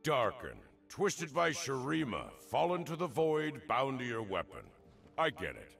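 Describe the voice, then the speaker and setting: An actor voicing an ancient, battle-hardened male warrior in a video game, gravelly, with a wry, nonchalant delivery. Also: mid-range voice, huge pitch swings, unhurried, fairly bright tone, soft background music, exaggerated voice. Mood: grim, defiant. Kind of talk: video game character voice lines.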